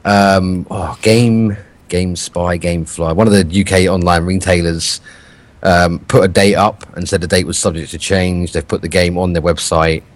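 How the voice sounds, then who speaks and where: A British man co-hosting a gaming podcast, swearing a lot and arguing his point in a self-described monotone. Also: deep voice, moderate pace. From Britain. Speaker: podcast co-host. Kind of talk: casual gaming podcast chat.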